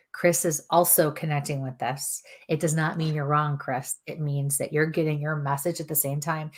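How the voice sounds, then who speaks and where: A woman medium giving spirit messages on a live show in a calm, earnest way, her throat strained as she loses her voice. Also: low voice. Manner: calm, earnest, relays messages in repeated "I feel like" phrasing. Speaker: psychic medium and co-host. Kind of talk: live chat-room spirit readings.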